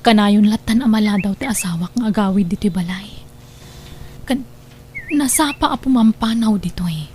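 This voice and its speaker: A woman's voice: medium pitch, normal speed, a little mellow, a little hiss or hum, natural voice. A woman playing a worried wife and mother in an audio drama, speaking in an emotive, sighing way. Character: suspicious, worried wife and gentle mother of young children. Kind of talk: Ilocano audio drama acting.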